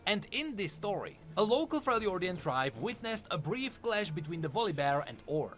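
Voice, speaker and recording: A man's voice: sits high, very animated, moderate pace, fairly bright tone. A man reading scripted narration, telling fantasy game lore as a story. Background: background music under the voice.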